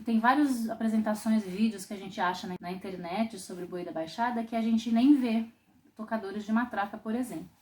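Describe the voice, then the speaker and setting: A woman from Brazil teaching a popular singing class, explaining in a thoughtful way with frequent pauses and restarts. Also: medium pitch. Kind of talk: online music lesson.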